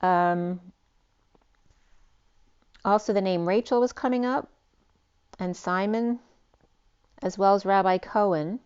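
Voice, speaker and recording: A woman giving a spiritual tarot reading, rambling and free-associating with many restarts, her voice going hoarse. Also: medium pitch, slow, pauses often, darkish tone, lo-fi sound. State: losing her voice. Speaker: tarot reader.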